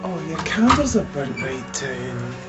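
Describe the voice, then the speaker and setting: A woman's voice: rumbling low, sing-song, a little slow, heavily acted. An actress playing a caring, attentive woman in a naturalistic radio drama. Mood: concerned. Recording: muffled, music behind.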